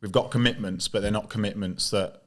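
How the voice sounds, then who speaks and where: A man's voice: lowish voice, fairly even intonation, a little fast. A man in his twenties co-hosting a casual podcast, talking earnestly with frequent restarts. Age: 20s. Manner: earnest, restarts words and backs others with "yeah". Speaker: podcast co-host. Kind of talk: casual chat.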